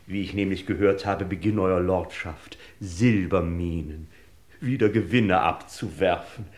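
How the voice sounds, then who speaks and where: An actor playing a deferential, confiding business adviser to a lord in a 1950s German radio play, somewhat hesitant. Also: male voice, low voice, unhurried, a little mellow.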